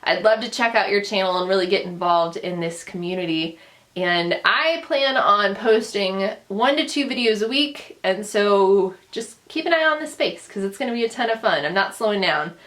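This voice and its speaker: A woman's voice: medium pitch, expressive, normal speed, fairly bright tone. Earnest young woman vlogger, Dutch speaker. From grew up in the Netherlands, then the United States. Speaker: booktuber, vlog host. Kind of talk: casual personal storytelling to camera.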